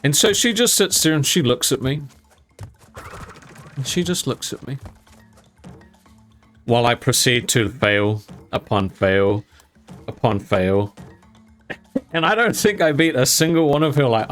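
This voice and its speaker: Young male gaming host, casual storytime, mid-pitched, chatty and self-mocking, faint music behind. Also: lively intonation, unhurried, long pauses, fairly bright tone. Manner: rambling, laughs often, mild swearing.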